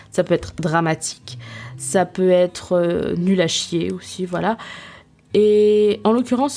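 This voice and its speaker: A woman's voice: fairly low pitch, mellow. A young woman hosting a podcast, reviewing a series in a casual, self-mocking way with many fillers and restarts. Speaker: podcast host.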